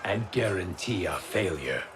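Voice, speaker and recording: An actor playing a sly, sardonic schemer in a video game, with a dry, knowing delivery. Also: male voice, low-pitched, expressive, measured pace. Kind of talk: cinematic video game acting. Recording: loud music behind the voice.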